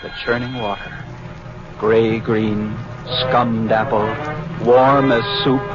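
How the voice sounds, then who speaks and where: A man's voice: mid-range voice, level tone, natural voice. Man playing a muffled old-radio lighthouse keeper storyteller. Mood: ominous. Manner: measured, vivid storytelling. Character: male lighthouse keeper recalling a grim tale, wry and vivid. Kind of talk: vintage radio drama narration. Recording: muffled old radio recording with loud music.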